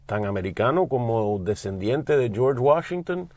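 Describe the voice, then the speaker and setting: A man's voice: mid-range voice, lively intonation, a little slow, a little mellow, dry recording. A middle-aged man from the United States, a studio guest speaking earnestly and with feeling. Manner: earnest, heartfelt. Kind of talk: interview talk.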